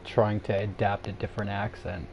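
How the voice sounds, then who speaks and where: A man's voice: fairly low pitch, level tone, normal speed, a little mellow, slight background noise. A man with a Canadian accent chatting casually on a livestream, rambling with lots of fillers and restarts as he answers viewers. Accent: Canadian English. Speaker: streamer answering chat.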